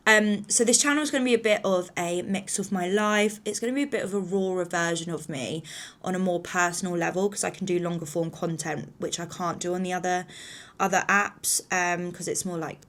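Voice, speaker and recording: A woman's voice: lowish voice, fairly even intonation, a little fast. A woman in her thirties from England, chatting casually to camera in a rambling, slightly nervous way with lots of fillers. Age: 30s. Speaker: vlogger.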